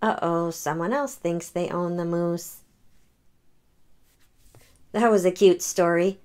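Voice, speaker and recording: A woman hosting a children's read-aloud, narrating a picture book in an expressive storytelling manner. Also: lowish voice, slow pace.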